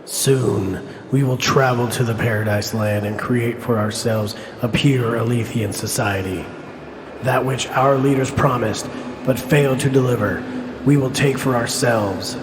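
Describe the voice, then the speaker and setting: A man's voice: mid-range voice, unhurried, noisy background, natural voice. A man playing a zealous separatist leader in an audio drama, solemn and declamatory. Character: zealous separatist leader rallying his alien followers.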